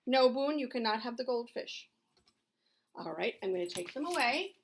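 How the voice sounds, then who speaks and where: A woman's voice: fairly high pitch, expressive, moderate pace, a little hiss or hum. A middle-aged woman teacher giving a lesson to young children, asking questions and answering them in simple, explanatory phrases. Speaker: elementary school teacher.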